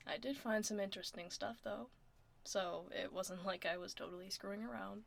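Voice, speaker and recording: A woman playing a laid-back tomboy werewolf office admin in a soft, casual, wry ASMR roleplay. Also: medium pitch, steady tone, normal speed, creaky. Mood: confiding, wry. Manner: casual, reassuring, wry, murmuring. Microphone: close binaural ASMR microphone. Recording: close binaural mic, faint background noise.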